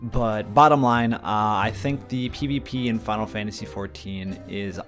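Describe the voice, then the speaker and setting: A man's voice: fairly low pitch, moderate pace, a bit bright, faint music behind. A man, chatting casually and rambling a bit as he answers viewer questions and covers game news. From Minnesota, USA. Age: mid-20s. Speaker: gaming channel host.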